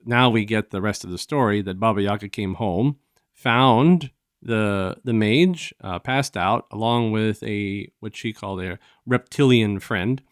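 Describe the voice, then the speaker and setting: A man with a fairly low voice hosts a casual review discussion. He retells the story conversationally, stopping and restarting, and slips into snatches of dialogue. The sound is dry, with little room around him. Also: unhurried, clear, resonant tone, no room sound. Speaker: host of a review show.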